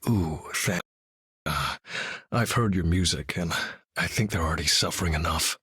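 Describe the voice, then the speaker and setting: An actor playing a grim, battle-hardened vigilante leader, dry and deadpan, in video game dialogue. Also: male voice, low voice, normal speed, husky, dry recording, heavily acted. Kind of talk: video game character voice lines.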